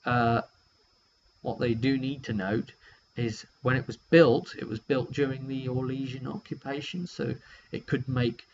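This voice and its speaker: A man's voice: mid-range voice, flat delivery, a little slow, creaky. British man, dull lo-fi recording. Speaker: tabletop game master recapping sessions. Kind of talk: casual vlog storytelling. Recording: dull lo-fi sound with faint hum.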